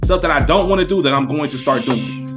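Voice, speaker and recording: A man co-hosting a podcast, telling a story in casual slang with lively energy. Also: on the high side, quick pace, music in the background. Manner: animated anecdote-telling with street slang. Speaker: podcast co-host.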